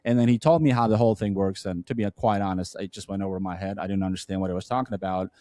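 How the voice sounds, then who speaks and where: A Turkish-accented man, not a native English speaker, with a fairly low voice, recorded dry and close. He tells his story as an interview guest, earnest and halting, with restarts and "uh" fillers along the way. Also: fairly even intonation, warm tone, dry recording. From Turkey. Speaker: podcast guest, entrepreneur. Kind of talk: conversational storytelling.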